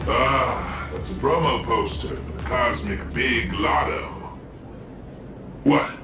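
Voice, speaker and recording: An actor playing an easygoing young patrol guide with a laid-back, breezy way of talking. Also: male voice, medium pitch, slow, reverberant, far from the mic, music in the background, natural voice. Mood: chatty. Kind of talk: game voice acting.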